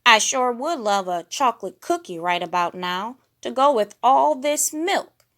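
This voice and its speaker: A woman's voice: on the high side, lively intonation, a little slow, fairly bright tone, pitched above the performer's own voice. A woman voicing a stubborn, whiny young male bear cowboy from a children's cartoon storybook, sulky and protesting. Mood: sulky, wishful.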